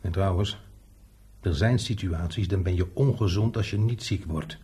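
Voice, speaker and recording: A man's voice: low-pitched, moderate pace, dark tone, husky. An actor in a radio play playing an argumentative, insistent man who stubbornly defends a comrade. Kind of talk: Dutch radio play acting.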